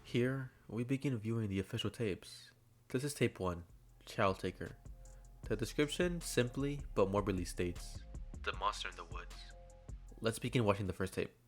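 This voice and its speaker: A man's voice: on the low side, moderate pace. A man hosting a video, giving scripted analysis commentary. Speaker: YouTube host. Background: faint music.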